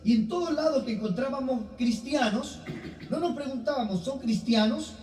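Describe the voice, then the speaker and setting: A man's voice: very high voice, normal speed, a little mellow, soft background music. A middle-aged man with an Ecuadorian Spanish accent speaking as a guest preacher to a church congregation. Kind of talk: sermon with personal introduction. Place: church hall, recorded from a distance. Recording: roomy, distant mic, faint background noise.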